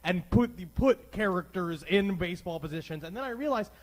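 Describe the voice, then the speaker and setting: A man's voice: high voice, animated, fairly quick. A young man co-hosting a comedy podcast, rambling and self-mocking as he jokes. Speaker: podcast co-host.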